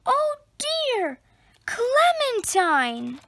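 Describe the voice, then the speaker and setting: Woman voicing a high, plaintive little toy rabbit. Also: wildly swooping pitch, drawn-out words, a bit bright, exaggerated voice. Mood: anxious, plaintive. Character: small pink toy rabbit, girlish, anxious, plaintive, lost.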